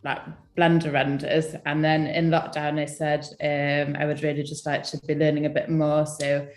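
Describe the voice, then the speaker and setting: A young woman from England, a guest designer chatting casually on a live stream, hesitant with many ums and soft laughs. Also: low voice, monotone, moderate pace, roomy sound, faint music behind.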